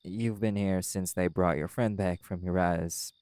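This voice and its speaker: A man playing a calm, caring were-dog pack alpha in an audio roleplay, gently reassuring a friend. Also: low voice, a little slow, no echo, clean studio sound. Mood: gentle coaxing. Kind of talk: audio roleplay acting, intimate comforting talk.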